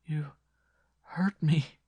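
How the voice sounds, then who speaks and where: A man's voice: a little high, steady tone, extremely slow, warm tone, breathy edge, no room sound, voice pushed up, full character voice. A man voicing a frightened, pleading woman in a breathless, anguished manner for a horror story. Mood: pained, terrified.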